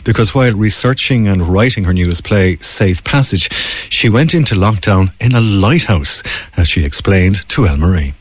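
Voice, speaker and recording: Male arts radio presenter, Irish accent, lower voice, scripted links in a dry studio sound. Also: expressive, moderate pace, slightly rough, no echo.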